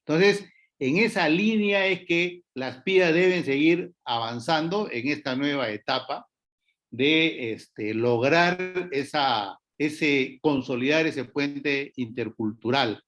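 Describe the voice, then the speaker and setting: A man's voice: on the high side, slow, fairly bright tone, dull audio. A man giving panel remarks, hesitant, with many fillers and restarts.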